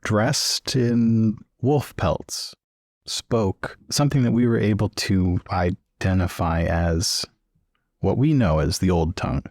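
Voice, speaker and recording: A man improvising in character and narrating as a player in a tabletop game, measured and careful. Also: on the low side, a little mellow, no room sound, studio quality. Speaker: player in a tabletop role-playing podcast.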